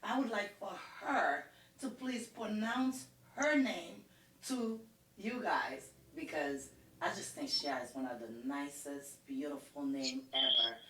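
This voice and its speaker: A woman's voice: lowish voice, expressive, measured pace, a bit bright, reverberant, far from the mic, rough recording. A woman hosting an interview in a playful, teasing way. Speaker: podcast host.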